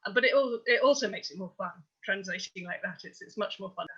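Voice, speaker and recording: A woman's voice: medium pitch, quick pace, fairly bright tone, breathy edge. A woman answering as a translator on a post-show panel, explaining her process. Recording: dull, lo-fi audio.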